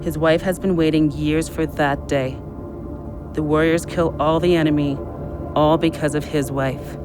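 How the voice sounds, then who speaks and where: A man acting a reckless, drunk man coming unravelled in an audio play. His voice sits fairly high, with an insistent, excitable push, over prominent music. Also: measured pace, natural voice. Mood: brooding. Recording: music behind the voice.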